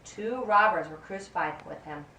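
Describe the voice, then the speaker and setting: A woman reading a scripted Bible story as narrator of a puppet show, in a deliberate, expressive way. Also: on the low side. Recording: roomy, distant mic, faint background noise.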